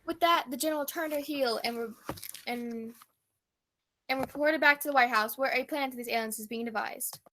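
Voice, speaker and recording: Brief: actress as dramatic field reporter for a radio spoof, high bright voice, portentous delivery. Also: female voice, moderate pace, slight background noise. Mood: grave, probing. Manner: portentous, overblown. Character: earnest, dramatic female radio news reporter. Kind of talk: comic radio play news report.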